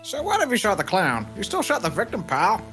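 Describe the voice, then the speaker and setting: A woman's voice: mid-range voice, wildly swooping pitch, a little fast, fairly bright tone, soft background music. A woman voicing a blustery, folksy male police detective in a live fan dub of a video game. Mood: blustery, confident. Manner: folksy, emphatic, ends phrases with pal or sir.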